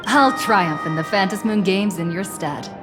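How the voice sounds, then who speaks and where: A woman voicing a calm, determined young hero in a video game, quiet and matter-of-fact, with game music behind. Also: mid-range voice, normal speed, close to an everyday voice. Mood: resolute.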